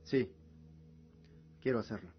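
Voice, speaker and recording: A man's voice: on the low side, measured pace, muffled recording, soft background music, natural voice. An actor playing a respectful, earnest young heir and officer, loyal to his father, in a fantasy radio drama. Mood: determined.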